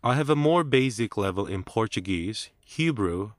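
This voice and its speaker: A man reading a scripted listening-test script in a measured, clear way, as narrator and interviewee. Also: on the low side, expressive, dry recording, studio quality.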